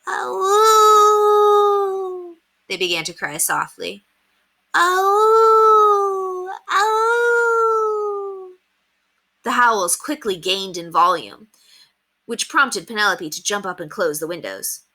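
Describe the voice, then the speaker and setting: Female storyteller, expressive read-aloud, bright tone, slightly low pitch, children's book narration. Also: normal speed.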